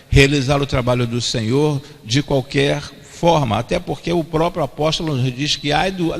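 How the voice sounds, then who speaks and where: Gravelly Brazilian pastor in echoing church. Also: male voice, fairly high pitch. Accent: Brazilian Portuguese. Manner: repeats each point twice for emphasis. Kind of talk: expository sermon. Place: church sanctuary. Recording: long church-hall reverb.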